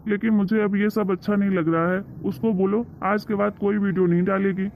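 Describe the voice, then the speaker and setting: A creaky cartoon father, played by a man, anxious and strict. He speaks emphatically, like a simple family man admonishing his household. The sound is narrow and phone-like. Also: high voice, fairly even intonation, fairly quick, slight background noise. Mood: disapproving. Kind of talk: Hindi cartoon moral story dialogue.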